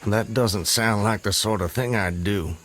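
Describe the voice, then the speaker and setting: A man's voice: lowish voice, measured pace, a bit bright. An actor playing a bewildered, wary, courteous man on the run in a radio drama, speaking hesitantly and formally. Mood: confused.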